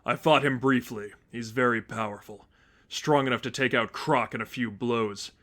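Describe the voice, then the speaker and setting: A man voicing a stern, brooding masked vigilante mentor, speaking in a grave, terse, low-key way in a story reading. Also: moderate pace, fairly bright tone, close to an everyday voice.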